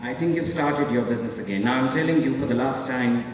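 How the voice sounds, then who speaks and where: A man's voice: medium pitch, fast, close to an everyday voice. A man reading as a timid, cornered man, speaking in a strained, subdued tone. His voice sounds distant in a large echoey hall with a faint hum around it.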